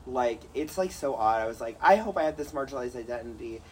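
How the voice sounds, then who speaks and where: A man co-hosting a casual podcast, talking in loose, rambling bursts full of fillers and jokes. His voice sits at an ordinary middle pitch. A light hiss of background noise runs under the recording. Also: lively intonation, fast, rough recording. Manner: rambling, filler-heavy, jokey. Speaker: podcast co-host. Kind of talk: casual chat.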